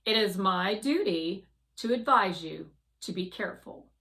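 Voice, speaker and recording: A woman's voice: mid-range voice, expressive, unhurried, bright, forceful tone. A woman voicing a stern, cautious machine spacecraft with a formal, officious manner in a children's storybook. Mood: cautioning.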